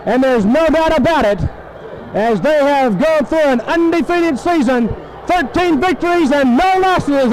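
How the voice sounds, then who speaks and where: Male sports announcer, very high pitch, punchy short phrases, live play-by-play, vintage AM radio sound. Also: poor audio. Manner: excited, emphatic calling in short phrases. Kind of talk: live football play-by-play commentary. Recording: 1970s AM radio sports broadcast.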